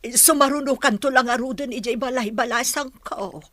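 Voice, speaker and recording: A woman's voice: sits high, moderate pace, a bit bright, no echo, clean studio sound. A woman with an Ilocano accent playing a concerned grandmother in a radio drama. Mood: concerned, gentle. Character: grandmother consoling her troubled daughter and grandchild.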